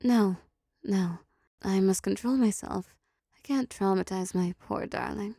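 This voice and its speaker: A seductive, possessive female demon played by a woman speaks slowly and closely in a dark, mellow voice with a creaky edge. She is teasing and controlling, doling out condescending pet names as in an intimate audio roleplay. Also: mid-range voice, no echo, studio quality. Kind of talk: intimate roleplay audio drama, addressing the listener.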